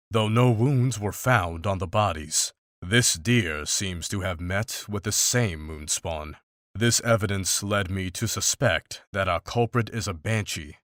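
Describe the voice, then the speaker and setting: An actor playing a grim, dry-witted monster hunter in a fantasy visual novel, speaking in a measured, formal, deadpan way. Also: male voice, low voice, no echo.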